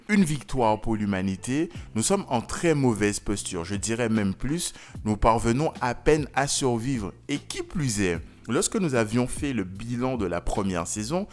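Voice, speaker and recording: A man hosting a review video, speaking in scripted commentary with conversational asides and theatrical, emphatic phrasing. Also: medium pitch. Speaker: video reviewer and channel host.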